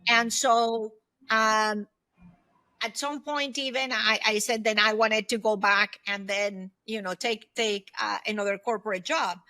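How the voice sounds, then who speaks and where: A woman in her fifties talks as a podcast guest with a bright, forceful voice set a little high. The recording is dry and close, and she gives advice in an emphatic, conversational way, often checking that the listener follows. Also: fairly even intonation, a little slow, dry recording. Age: 50s. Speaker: podcast guest, former aerospace executive. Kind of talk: conversational interview, giving advice.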